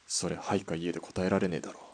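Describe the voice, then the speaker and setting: Man acting a very low, gruff young killer. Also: little pitch movement, rapid-fire. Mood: menacing. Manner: blunt, curt, tough-talking. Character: gruff, cocky young Japanese army sniper turned killer. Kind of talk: Japanese audio drama acting.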